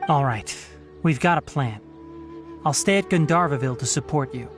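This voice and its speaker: An actor playing a polite, earnest young ranger in a video game, speaking thoughtfully over game music. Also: male voice, a little high, moderate pace, close to an everyday voice.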